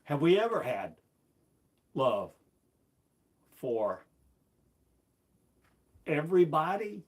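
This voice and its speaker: A man's voice: a little high, sing-song, extremely slow. A man delivering a rambling, self-recorded sermon, asking one repetitive, incantatory rhetorical question after another. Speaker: self-styled preacher.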